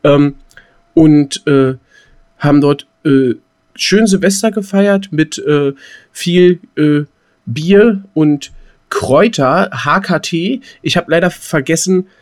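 A man's voice: a little high, slow, darkish tone. A man co-hosting a podcast, telling anecdotes in a rambling, colloquial, casual way. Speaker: podcast co-host.

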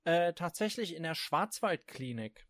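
A man's voice: on the high side, animated, a little slow, a bit bright. A man from Germany chatting casually as a podcast co-host, with a joking, self-deprecating manner. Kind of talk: casual chat.

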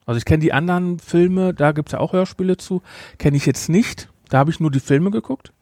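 A man's voice: on the high side, brisk, dark tone, no echo. Man chatting casually, dry close sound. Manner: informal, conversational, addresses listeners directly. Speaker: podcast host. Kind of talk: casual chat, reviewing an audio drama.

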